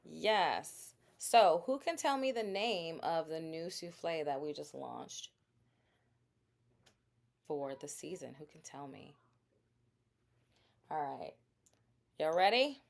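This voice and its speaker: A woman hosts a casual livestream, chatting straight to her viewers and tossing out quiz questions as she shows her products. Her voice sits a little low, and she takes her time, drawing her words out at an unhurried pace. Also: lively intonation, creaky. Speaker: livestream host selling bath products. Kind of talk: casual live sales stream.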